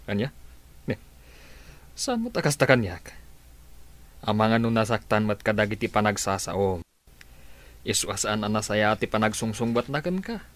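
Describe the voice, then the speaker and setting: A man playing a calm friend who gives steady advice in a radio drama. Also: fairly low pitch, moderate pace, pauses often, natural voice. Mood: advising.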